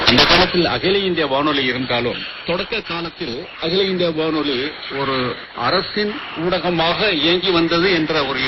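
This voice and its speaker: An older man with an Indian Tamil accent speaks in a fairly high voice. He answers formally and in measured, literary phrases, heard through a dull, very noisy shortwave radio broadcast. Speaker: retired radio official, interviewee.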